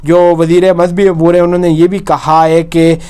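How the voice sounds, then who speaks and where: Male news commentator, Pakistani accent, smooth clear voice on the high side, explains and restates points. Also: little pitch movement, normal speed, dark tone. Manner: explanatory, often rephrasing and repeating points.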